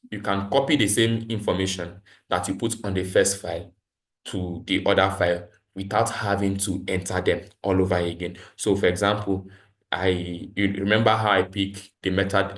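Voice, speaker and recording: Man, low pitch, online training facilitator, step-by-step instruction, repetitive explanations. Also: a bit bright. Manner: instructional, repeats points. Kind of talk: live tutorial with screen demonstration. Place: online video call, voice over screen share.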